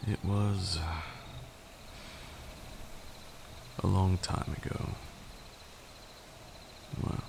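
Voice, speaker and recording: A man's voice: fairly even intonation, background noise, heavily acted. A man playing an ancient, brooding vampire lord in an intimate ASMR roleplay, seductive and menacing, with an archaic, commanding way of talking. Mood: proud, wistful. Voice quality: deep gravelly voice, breathy at times. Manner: archaic, deliberate, teasing and commanding.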